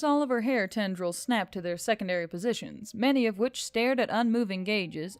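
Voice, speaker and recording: A woman's voice: mid-range voice, no echo. Woman narrating a story, very dry sound. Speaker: audiobook narrator.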